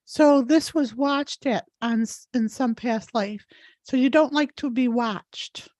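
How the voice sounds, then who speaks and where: A woman's voice: fairly high pitch, takes it slowly, darkish tone, no room sound. A woman hosting a live healing session, moving between guided affirmations and informal, encouraging chat. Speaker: host, energy healer leading a live session. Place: live online video call.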